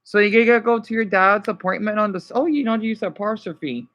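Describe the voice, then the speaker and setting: A man chatting casually to live viewers as a streamer, rambling and halting as he jumps between thoughts. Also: high voice, fairly bright tone, dull audio. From United States.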